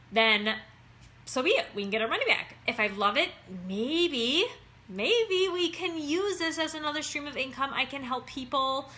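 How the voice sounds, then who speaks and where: A woman's voice: on the high side, bright, forceful tone. A woman in her thirties from Minnesota with an Upper Midwest accent, an online wellness coach talking casually to her viewers in a chatty, upbeat way. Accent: Upper Midwest American. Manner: chatty and upbeat, keeps saying "you guys".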